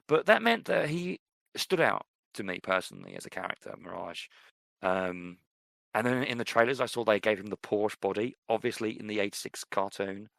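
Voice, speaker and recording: A man's voice: low voice, creaky, fairly bright tone, no echo. A man co-hosting a podcast, chatting casually and rambling with many fillers about films. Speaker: podcast co-host.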